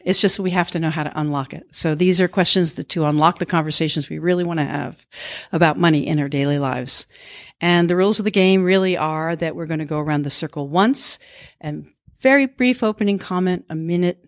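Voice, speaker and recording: A woman's voice: low-pitched, brisk, no echo, studio quality. A woman explaining the rules of a group conversation game, speaking earnestly and expressively. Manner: earnest, expressive, uses imagery. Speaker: guest author explaining a game. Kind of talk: group discussion facilitation.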